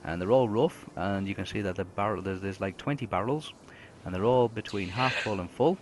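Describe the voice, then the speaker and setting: A man's voice: on the low side, brisk, a little hiss or hum. A man narrating as game master, describing a fantasy world in a rambling way with many fillers and restarts. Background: music.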